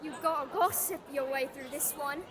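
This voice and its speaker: Young girl sleuth: earnest, determined, very high pitched, audio drama over street bustle. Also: moderate pace, fairly bright tone. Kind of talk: scripted audio drama acting. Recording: busy outdoor crowd noise behind.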